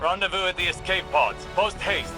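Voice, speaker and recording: A man plays a stubborn warlock leader from a sci-fi video game. The delivery is commanding, terse and formal, in a slightly high register. Music is clearly audible behind the voice. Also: normal speed, natural voice. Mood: determined.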